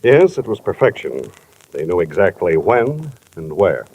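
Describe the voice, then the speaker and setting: An actor playing a businesslike male company executive in a 1950s radio drama, speaking in a deliberate, formal, slightly fussy manner. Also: low voice, sing-song, a little mellow, slightly rough, heavily acted. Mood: serious, measured. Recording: noisy vintage radio recording.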